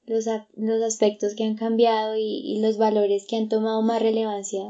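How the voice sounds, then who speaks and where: A woman's voice: fairly high pitch, completely flat delivery, moderate pace, mellow, muffled recording. A woman, chatting reflectively with many fillers and restarts. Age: 20s. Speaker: guest. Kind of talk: casual conversation.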